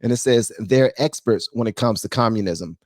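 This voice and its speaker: A man's voice: fairly low pitch, normal speed, a little mellow, dry recording, clean studio sound. A man hosting a reaction commentary channel, talking emphatically and firing off rhetorical questions.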